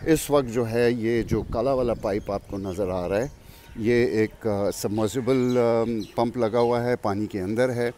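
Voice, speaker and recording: An older man with a Pakistani accent talks outdoors as a farmer showing people around. His voice sits at an average male pitch, and he is reflective and unhurried, pausing often. Birds and some ambient noise are heard behind him. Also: warm tone. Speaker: farmer and vlog host. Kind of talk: informal explanatory farm tour. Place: outdoors beside a farm well. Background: birds and outdoor farm ambience.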